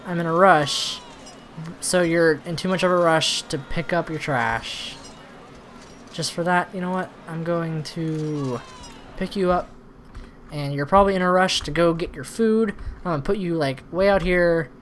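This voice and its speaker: A man's voice: a little high, a little slow, a bit bright. Rambling male gamer over noisy background. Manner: rambling, thinking aloud. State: slightly hoarse from talking. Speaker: host of a gaming channel. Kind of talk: casual let's-play commentary. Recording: noisy recording with background ambience.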